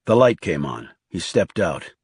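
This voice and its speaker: A man's voice: deep voice, very animated, measured pace, husky, no echo, studio quality. A man reading scripted thriller narration as an audiobook narrator.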